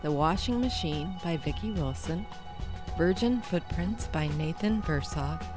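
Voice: mid-range voice, lively intonation, measured pace, music in the background. A podcast host reading scripted introductions and credits in a plain announcing tone.

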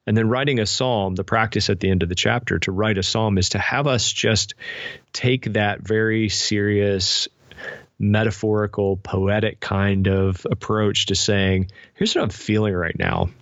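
A man's voice: fairly low pitch, fairly even intonation, a little slow, dry recording, dull audio. A middle-aged man speaking reflectively as an interview guest, explaining things thoughtfully with everyday examples. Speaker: podcast guest, spiritual director and author.

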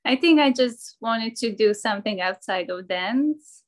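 Woman, English, cheerful, slightly high voice, interview guest. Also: animated, a little slow. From Slovakia. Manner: cheerful, laughs often, drops articles. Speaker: ballet dancer and personal trainer. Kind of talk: casual interview answers.

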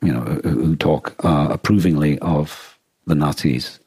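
A man with a very low, noticeably rough-edged voice, recorded close and dry. He talks as a podcast co-host in casual discussion, feeling his way through thoughts and often rephrasing mid-sentence. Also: a little slow, a little mellow, no echo. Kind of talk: casual discussion of a radio drama and war.

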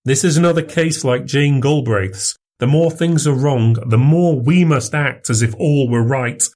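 A man voicing a smooth, cynical medical man who coaxes and mocks a younger accomplice in a storybook reading. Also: mid-range voice, measured pace, darkish tone, pitched above the performer's own voice, close to an everyday voice. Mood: coaxing. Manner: coaxing, persuasive, mocking.

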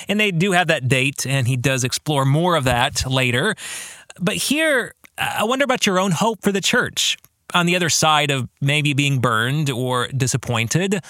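Male podcast host and author, earnest and reflective, unhurried delivery, bright tone. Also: on the high side, dry recording, studio quality. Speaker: podcast host and author discussing his book. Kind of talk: reflective book commentary, addressing the listener directly.

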